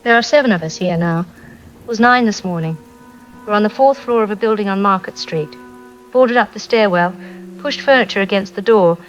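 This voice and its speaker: Dull phone audio, rain behind, woman playing scared exhausted female survivor, halting, fragmented speech, mid pitch, audio drama. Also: soft background music. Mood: frightened, weary. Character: frightened, exhausted woman survivor trapped by zombies. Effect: phone line.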